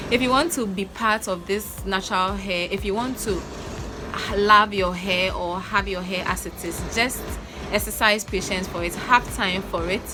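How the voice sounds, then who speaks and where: A young woman with a Ghanaian accent giving earnest, coaching advice in a casual vlog, repeating her points. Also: mid-range voice. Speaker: natural hair vlogger. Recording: music playing under the voice. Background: background music.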